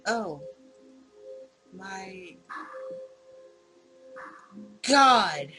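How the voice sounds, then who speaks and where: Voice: mid-range voice, expressive, very slow, over music. A game host voicing a blunt, exasperated policewoman girlfriend from a visual novel. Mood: shocked, exasperated.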